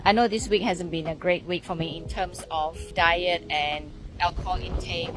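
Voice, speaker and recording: A woman's voice: lowish voice, low-quality recording. A woman in her late forties with a Singaporean accent, chatting casually and candidly in a personal vlog, poking fun at herself. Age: late 40s. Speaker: vlogger.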